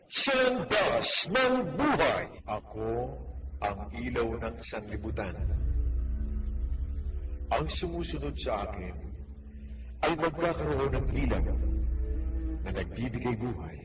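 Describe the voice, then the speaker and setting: A man's voice: medium pitch, big pitch swings, slow, pauses often, over loud music, rough recording. A man delivering scripted, dramatic narration as the announcer of a radio programme.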